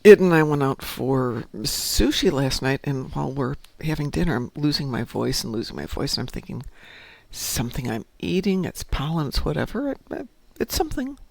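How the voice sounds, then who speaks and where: Hoarse man chatting while gaming. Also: medium pitch, sing-song, normal speed, a little mellow, no echo. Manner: chatty, talks to teammates and chat while playing. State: losing his voice. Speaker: game streamer. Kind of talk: casual gameplay commentary.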